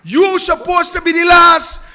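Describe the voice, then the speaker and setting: A Trinidadian man hosts a political talk show with a very high, piercing voice. He scorns his targets and hammers the same questions again and again. The sound carries a long, echoey reverb. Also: level tone, unhurried, sharp tone, raised voice. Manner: scornful, repetitive rhetorical questioning. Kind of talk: ranting live political commentary.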